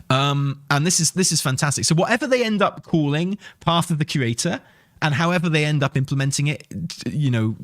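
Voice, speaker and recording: A man's voice: a little high, fairly bright tone, no room sound, clean studio sound. A man giving casual, enthusiastic gaming commentary, thinking aloud in an emphatic, conversational way and repeating himself for emphasis. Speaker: video game commentator and host.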